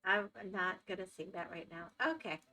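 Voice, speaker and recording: A woman's voice: lowish voice, moderate pace, pushed and bright, soft background music, poor audio. A woman with a Florida accent, chatting casually with viewers as a live streamer and reacting to their comments. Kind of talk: casual chat while gaming.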